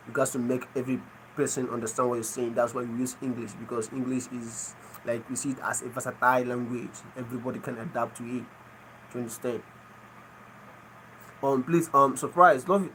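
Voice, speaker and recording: A man's voice: mid-range voice, steady tone, normal speed, fairly bright tone, rough recording. A Nigerian man with an Igbo first language talks casually to his viewers on a livestream. He repeats each phrase and keeps asking people to explain what they mean. A faint air-conditioner hum sits behind him. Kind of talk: casual live chat.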